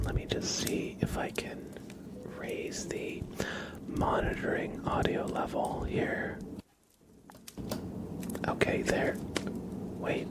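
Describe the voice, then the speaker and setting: A man hosting an ASMR livestream, chatting in a hushed, unhurried, soft-spoken whisper close to the microphone.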